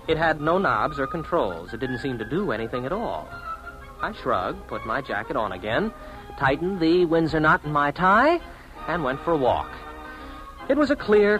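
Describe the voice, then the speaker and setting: A man's voice: medium pitch, close to an everyday voice, low-quality recording. An actor with an American accent playing a lonely city man in a 1950s radio drama, narrating his own awkward romance. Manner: hesitant and awkward in dialogue. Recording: vintage 1950s radio broadcast, music behind.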